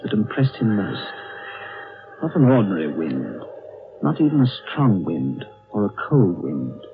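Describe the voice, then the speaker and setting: An actor playing a grave, reflective storyteller, narrating a 1930s radio drama in deliberate, evocative phrases. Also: male voice, lowish voice, noisy background. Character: grave, reflective storyteller recalling a friend's adventure. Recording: thin, tinny 1930s radio recording.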